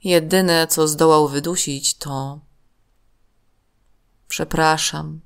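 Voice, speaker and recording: A woman narrating a scripted children's story in a measured, expressive manner. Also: deep voice.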